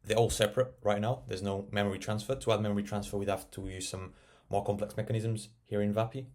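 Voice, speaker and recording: A man narrating a casual software tutorial, explaining and thinking aloud as he works. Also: on the low side, little pitch movement, quick pace. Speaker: tech tutorial presenter.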